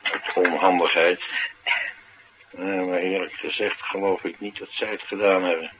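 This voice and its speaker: An actor playing a tough, cynical, streetwise bodyguard in a radio play, talking in a colloquial, matter-of-fact way. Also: male voice, deep voice, fairly even intonation, a little slow, a bit bright, slight background noise, low-quality recording. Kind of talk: naturalistic radio drama dialogue.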